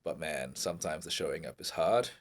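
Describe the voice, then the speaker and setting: A young man co-hosts a relaxed chat in a deep, low voice. He speaks softly and reflectively, often letting his thoughts trail off. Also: a little fast. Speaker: podcast co-host. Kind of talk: casual chat.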